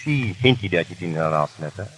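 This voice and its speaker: An actor playing a loyal, anxious French patriot in a 1930s radio drama, with an excitable, emphatic delivery. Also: male voice, low voice, normal speed. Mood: concerned, protesting. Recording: hissy 1930s radio broadcast.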